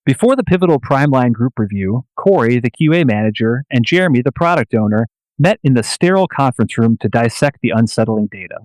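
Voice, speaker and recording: A man narrates a radio play in a solemn, scripted style. His voice is medium in pitch and the recording is dry, with carefully measured phrases. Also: expressive, darkish tone, dry recording, low-quality recording.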